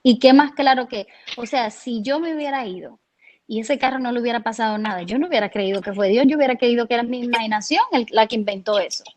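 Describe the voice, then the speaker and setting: A woman from Cuba with a Cuban accent, telling her personal faith story in an earnest, halting way, often restarting her words. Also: a little high. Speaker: guest sharing her testimony.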